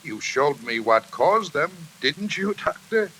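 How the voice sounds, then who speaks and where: A shrill, unhinged radio-thriller killer. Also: male voice, very animated, slow, pushed and bright, slightly rough, heavily acted, poor audio. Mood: cold menace. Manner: menacing, desperate, melodramatic. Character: unhinged murderous magnate, haunted by voices. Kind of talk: 1940s radio thriller acting. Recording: hissy old 1940s radio recording.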